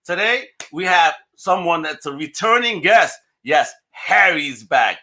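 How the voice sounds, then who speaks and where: A man's voice: fairly high pitch, lively intonation, measured pace, pushed and bright. A man hosting a podcast with enthusiastic, slangy, showman-style introductions and casual chat. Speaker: podcast host.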